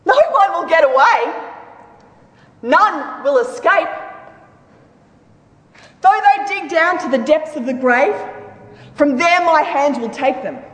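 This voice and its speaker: A woman's voice: high-pitched, lively intonation, takes it slowly, long pauses, a bit bright. A woman giving a solemn, declamatory dramatic reading of scripture with emphatic delivery. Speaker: reader in a church dramatic reading. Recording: echoey hall with long reverb.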